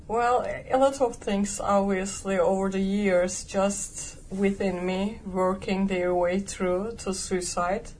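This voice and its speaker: A woman speaks as a guest in a radio interview. She tells her personal story in an earnest, measured way. Faint background noise sits under her voice. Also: mid-range voice, steady tone, a little mellow, low-quality recording. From Turkey.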